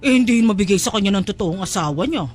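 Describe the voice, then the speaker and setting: A woman's voice: medium pitch, lively intonation, quick pace, faint music behind, close to an everyday voice. An actress playing a pushy, scheming middle-aged mother in a Tagalog audio drama, coaxing and insistent. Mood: persuasive.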